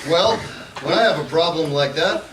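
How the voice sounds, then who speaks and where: Guy doing a helpful golf buddy in a fake radio ad, lively highish voice, echoey. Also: normal speed, crowd noise, close to an everyday voice. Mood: salesy. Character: helpful male golfing pal pitching a product. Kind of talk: spoof radio ad acting. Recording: echoey live stage, distant, faint noise.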